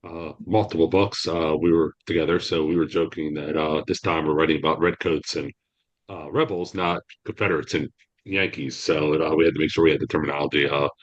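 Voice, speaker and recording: A man talking as a guest author on a podcast in a rambling, halting way, with frequent restarts. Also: rumbling low, fairly even intonation, highs cut off. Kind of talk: casual interview answers.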